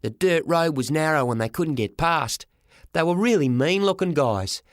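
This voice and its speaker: A man narrating a children's story in the first person as an expressive audiobook reader. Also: a little high, normal speed, no room sound, clean studio sound.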